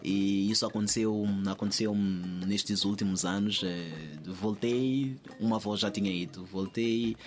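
A man's voice: fairly low pitch, fairly even intonation, unhurried, hardly any pauses. A man from Mozambique with a Mozambican accent, answering conversationally as an interview guest, often repeating phrases as he thinks aloud.

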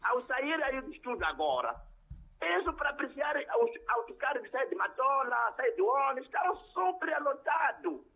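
Man, Mozambican accent, high voice, emphatic and repetitive, phone call. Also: sing-song, moderate pace, creaky. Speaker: radio caller. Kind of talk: impassioned call-in complaint. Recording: tinny phone line.

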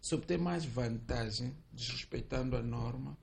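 A man, an expert guest on a radio debate, explaining in a measured, formal way. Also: mid-range voice, vocal fry, a little mellow.

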